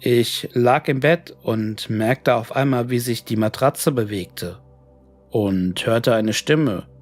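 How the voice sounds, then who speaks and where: A man reading a scripted horror story in a measured, clearly read narration. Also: mid-range voice, faint music behind. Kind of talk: scripted creepypasta audiobook narration of emails and diary entries.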